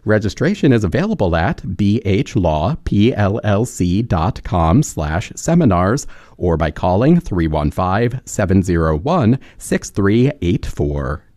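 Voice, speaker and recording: A low-voiced radio announcer reads a sponsor message crisply, his pitch rising and falling a lot. The sound is dry and close. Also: sing-song, a little slow, warm tone, no echo. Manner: crisp, read aloud. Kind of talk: scripted sponsor read.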